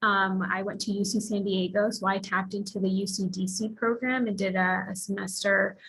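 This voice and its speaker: A woman's voice: mid-range voice, little pitch movement, moderate pace, gravelly, fairly bright tone, a little hiss or hum, poor audio. A woman from the Philippines speaking earnestly as a panelist, sharing her own path with many fillers. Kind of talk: panel discussion, personal account.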